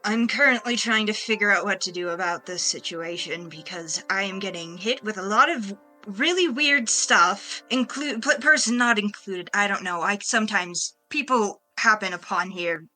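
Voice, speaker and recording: A woman voicing a just-woken, blunt and baffled spaceship captain in improvised tabletop roleplay, halting and exasperated. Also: mid-range voice, pushed and bright, soft background music, lightly acted, poor audio. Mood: blunt, bewildered.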